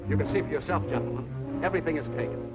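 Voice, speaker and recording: A dismissive hotel man, acted by a man, talking extremely fast with animated pitch in vintage radio comedy style. Loud music sits behind the dull old recording. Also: natural voice. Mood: brisk. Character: brisk, smoothly dismissive hotel employee.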